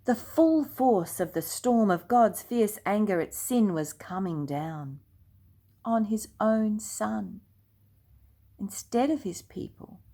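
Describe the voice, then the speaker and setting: An older woman reads a children's storybook aloud at an unhurried, slow pace. Her voice is gentle and hushed and sits at a middle pitch. At times she falls to a soft near-whisper.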